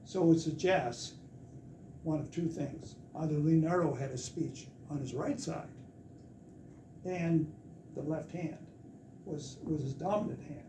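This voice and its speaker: A man explaining a point step by step in a measured way during a seminar discussion. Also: a little high. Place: lecture room. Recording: echoey, far from the mic, noisy.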